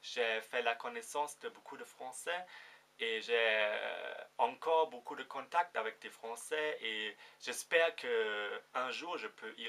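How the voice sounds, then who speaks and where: A young man from Germany in his twenties, speaking French with a German accent, talking to his viewers in a casual vlog and often pausing to search for words. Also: medium pitch, sharp tone. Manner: hesitant, searching for words, many fillers. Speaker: vlogger. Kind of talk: casual direct-to-camera vlog.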